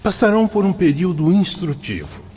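A man's voice: sits high, big pitch swings, brisk, darkish tone, breathy edge, highs cut off, heavily acted. A man acting a wise, fatherly spirit mentor in a radio soap opera, speaking in a solemn, didactic, formal manner. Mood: solemn, instructive. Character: wise, fatherly male spirit mentor explaining afterlife rules.

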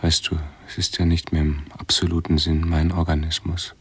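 An actor playing an anxious, pedantic, self-absorbed male hypochondriac in a radio play, with a husky, breathy voice and halting, formal phrasing. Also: low voice, level tone. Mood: pedantic, brooding. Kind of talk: 1970s German radio play acting.

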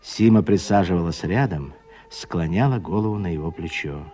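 A man narrating a literary radio drama as a measured, expressive storyteller. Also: low-pitched, faint music behind.

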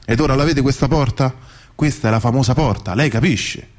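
A man's voice: mid-range voice, brisk, lightly acted. An old, courteous gatekeeper of heaven acted out by a man in a radio play. He explains everything with patient, formal politeness. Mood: patient, explaining.

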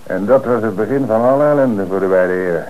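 An actor playing a calm, shrewd police commissioner who gives orders and explains the case in an old radio play. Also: male voice, on the low side, quick pace, phone-quality sound, natural voice. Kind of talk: radio drama acting.

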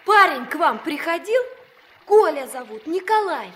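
An actress playing an anxious, impatient young granddaughter on a riverboat in a Soviet radio play. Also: female voice, very high voice, very animated, unhurried, sharp tone, full character voice. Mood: urgent, pleading. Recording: noisy old mono radio play recording.

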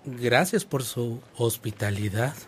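A man playing a sober, patient priest in a Spanish-language radio drama, speaking in a mild, measured way. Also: mid-range voice, a little hiss or hum, close to an everyday voice. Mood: admiring, calm. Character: sober, patient priest, companion to a younger one.

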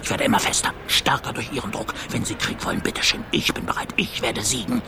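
A man's voice: medium pitch, wildly swooping pitch, fast talker, vocal fry, exaggerated voice. A man playing a paranoid, obsessive traveler who speaks in a grandiose, embattled radio-play monologue. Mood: defiant, triumphant. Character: paranoid, obsessive, defiant traveler convinced of his triumph. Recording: faint background ambience noise.

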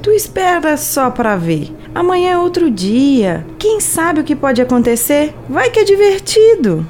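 Woman playing an upbeat inner voice, very high and lively. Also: unhurried. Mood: playful, hopeful. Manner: breezy, colloquial, reassuring. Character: upbeat, motivating, soothing right half of a brain. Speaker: voice actor in audio drama. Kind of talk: audio drama acting.

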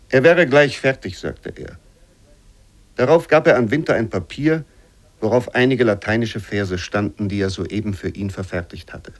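A man reading literary narration for a radio play in a measured, carefully phrased storytelling manner. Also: fairly low pitch.

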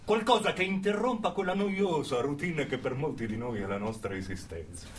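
Brooding male radical played by an actor, radio theatre monologue: highish, very expressive pitch, deliberate phrasing, off-mic with some noise. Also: distant mic, lightly acted. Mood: intense, inviting.

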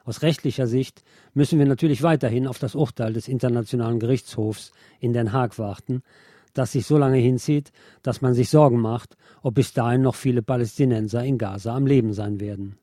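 Dark-voiced man reading sober radio commentary. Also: medium pitch, dry recording, studio quality. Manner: sober, articulate, formal. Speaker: radio presenter. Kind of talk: scripted radio commentary read aloud.

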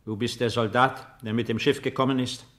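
Man as commanding ruler, medium pitch, composed, formal measured delivery, 1950s German radio play. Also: level tone, fairly bright tone, close to an everyday voice.

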